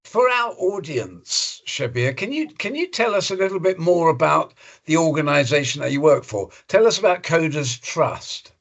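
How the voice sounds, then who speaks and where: A man hosting an interview podcast, polite and measured, with formal courteous phrasing. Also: on the high side, big pitch swings. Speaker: podcast host.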